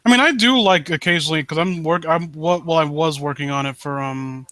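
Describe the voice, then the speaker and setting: A man with a fairly high, bright voice and a dry, close sound hosts a live stream. He rambles casually, breaking off and restarting his sentences, with a joking, crude streak. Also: no room sound. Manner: rambling, halting, self-interrupting. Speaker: livestream host.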